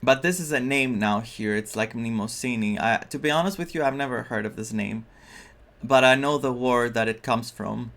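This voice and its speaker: A man's voice: mid-range voice, moderate pace, gravelly, fairly bright tone. A man giving casual, reflective playthrough commentary as a gaming streamer, often searching for his words. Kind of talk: casual playthrough commentary, reading some dialogue aloud.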